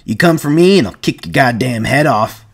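A man's voice: mid-range voice, fast, voice pushed up, natural voice. A man voicing a mocking, aggressive ranch hand with coarse, taunting rustic speech.

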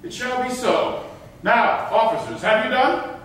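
A man's voice: very high voice, lively intonation, measured pace, fairly bright tone, heavily acted. A man playing a stern, sanctimonious governor in a staged reading of old verse, declaiming pompously. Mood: cold, official. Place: theatre stage in a hall. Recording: echoey hall, distant mic, faint noise.

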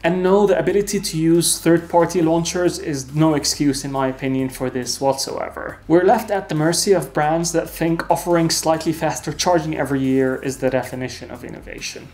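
A young man hosting a tech video, narrating a scripted review in a clear, earnest and opinionated way from a desk at home. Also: a little high, brisk, few pauses, darkish tone. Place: home desk room with lamp.

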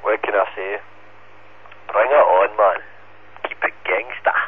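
A man's voice: fairly low pitch, measured pace. A man with a central Scottish accent bantering on two-way amateur radio, sweary and slangy, taunting the others. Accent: Scottish, central Scotland. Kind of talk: casual ham radio banter. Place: received over an FM radio repeater. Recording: phone-like narrowband radio, faint noise.

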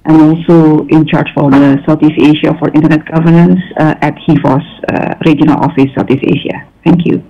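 A woman's voice: low-pitched, flat delivery, fairly quick, few pauses. A woman with an Indonesian accent introducing herself to a meeting over a remote call. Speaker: civil society delegate joining remotely. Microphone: remote online call. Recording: dull remote connection.

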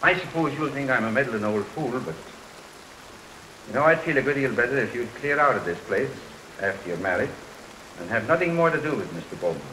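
An old missionary doctor from an early talkie, kindly but doubtful. He halts and rambles in a bright, lively voice. The old soundtrack hisses, with rain behind it. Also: medium pitch, fairly quick, poor audio. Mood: wary concern. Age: older. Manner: rambling, hesitant, punctuated with stammers. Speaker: film actor. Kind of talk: early 1930s film acting. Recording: hissy 1932 film soundtrack, rain behind.

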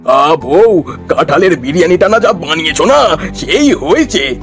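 A man's voice: on the high side, very animated, measured pace, faint music behind. A man voicing a cheerful, easygoing village father in a cartoon, eager and homely. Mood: delighted.

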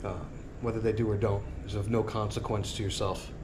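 Middle-aged male vlogger, somewhat low voice, earnest motivational rambling, recorded outdoors on a camera mic with clear ambient noise. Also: level tone, fairly quick. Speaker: sailing vlogger. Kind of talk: casual reflective monologue. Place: outdoor porch by a marina. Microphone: action camera on a tripod a few feet away.

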